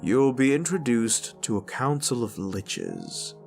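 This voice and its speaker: A man's voice: mid-range voice, wildly swooping pitch, slow, gravelly, soft background music. A man talking casually to his audience as the creator of an audio roleplay channel. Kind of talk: casual outro addressed to audience.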